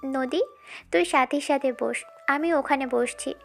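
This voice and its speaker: Woman acting bossy young madam in a romance audio story, high voice, brisk, keeps an eye on everyone. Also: creaky, soft background music. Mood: commanding. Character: Othoi, commanding, watchful young female boss.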